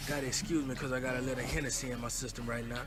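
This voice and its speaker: A man voicing a loud, swaggering, slangy animal in a comic video dub, with exclamatory delivery. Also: mid-range voice, steady tone, very fast, over music, natural voice. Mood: sleazy swagger.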